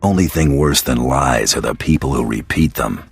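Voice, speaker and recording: A man playing a gruff, menacing bounty hunter in a video game, curt and blunt, with a gravelly, husky voice. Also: rumbling low, moderate pace, dry recording, soft background music, exaggerated voice. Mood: cold menace. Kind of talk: video game cutscene acting.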